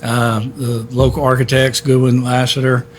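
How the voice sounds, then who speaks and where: A middle-aged man from Texas, a history center director being interviewed, speaking in a measured way with many filler words. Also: medium pitch, completely flat delivery, a little hiss or hum. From born in Hawaii, raised in Texas. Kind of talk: conversational interview.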